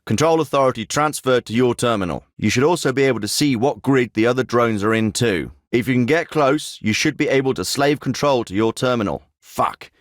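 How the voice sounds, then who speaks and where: An actor playing a terse, profane special forces team leader in a video game. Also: male voice, mid-range voice, fairly quick, few pauses, dry recording, close to an everyday voice. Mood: businesslike. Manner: terse, profane and matter-of-fact. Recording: dry studio recording.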